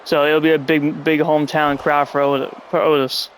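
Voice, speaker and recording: A man's voice: fairly high pitch, level tone, moderate pace, a little hiss or hum, poor audio. A man with a stuffy nose who is coming down with a cold, hosting a casual wrestling review chat at home in a rambling, sarcastic way. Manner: rambling, sarcastic, often annoyed. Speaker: podcast host.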